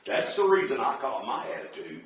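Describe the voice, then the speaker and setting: Blunt deep-voiced man, echoey room. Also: wildly swooping pitch, brisk, slight background noise. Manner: blunt, defensive, plain-spoken. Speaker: resident at a village board meeting. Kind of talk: heated back-and-forth at a public meeting. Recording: echoey room, mic far off.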